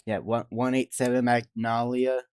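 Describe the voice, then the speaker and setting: A man's voice: medium pitch, level tone, moderate pace, a little mellow, smooth, dry recording. A man from Georgia, co-hosting a podcast and asking questions in casual chat. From Georgia, United States.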